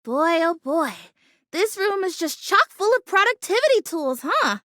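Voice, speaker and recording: A super high perky little houseplant girl for a video game, gushy and rambly, voice bouncing all over, dry close sound. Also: sing-song, unhurried, fairly bright tone, no room sound, exaggerated voice. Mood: bubbly, excited. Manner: bubbly, gushing, rambling, easily wounded. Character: perky, chatty young female plastic houseplant who longs to be real. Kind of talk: animated video game character acting.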